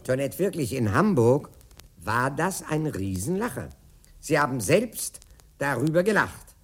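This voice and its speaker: An older man telling a theatrical anecdote, acting out the dialogue with dramatic pauses. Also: medium pitch, sing-song, fairly bright tone, breathy edge.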